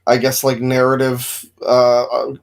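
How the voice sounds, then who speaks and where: A man's voice: medium pitch, monotone, measured pace, clean tone. A man casually discussing films with friends, sweary and often circling hesitantly toward his point. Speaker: podcast co-host.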